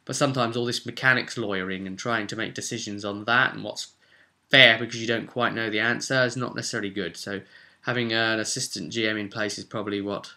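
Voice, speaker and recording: A man's voice: fairly low pitch, steady tone, quick pace, pushed and bright. A British man talking casually and off the cuff as a game master hosting his own vlog, chatty and thinking aloud. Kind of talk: casual unscripted rambling monologue.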